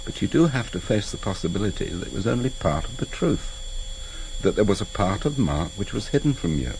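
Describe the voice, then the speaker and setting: An actor playing a grave, gentle family friend in a British radio drama, sober and measured. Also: male voice, lowish voice, animated, vocal fry. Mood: grave, reasoning. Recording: hissy vintage radio recording.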